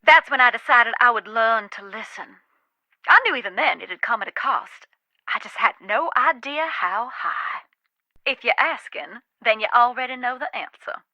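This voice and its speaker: Wry Southern ghost expert, American South accent, folksy, teasing, haunted, high forceful voice, dry close sound, video game. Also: female voice, expressive, moderate pace, no room sound, lo-fi sound. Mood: haunted, grave.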